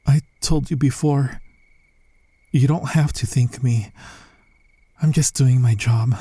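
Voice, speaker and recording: A man playing a secret assassin posing as a polite bodyguard in an ASMR roleplay, with a soft, gentle voice and a dry, restrained manner. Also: mid-range voice, natural voice. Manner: restrained, dry, inner monologue and courteous address. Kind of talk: ASMR roleplay audio acting.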